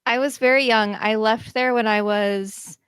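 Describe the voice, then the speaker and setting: Giggly, soft-spoken woman, dry close sound. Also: fairly high pitch, fairly even intonation, unhurried, clean tone, no room sound. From Hawaii. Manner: warm, laughs often, gentle. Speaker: guest, healer. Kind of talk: casual interview chat. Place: home, indoors.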